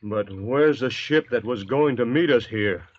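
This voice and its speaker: A man plays a distrustful cutthroat on the run in a vintage radio play. He talks slowly in a mid-range voice, grumbling and blunt, on a dull, aged recording. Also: medium pitch, close to an everyday voice. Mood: worried, grumbling. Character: surly, suspicious convict thief and cutthroat. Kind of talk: 1940s radio drama acting.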